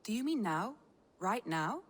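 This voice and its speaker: An actress playing a spirited, restless Viking woman in a video game, wry and expressive. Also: medium pitch, a little slow, husky, dry recording. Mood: eager, wry. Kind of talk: video game dramatic acting.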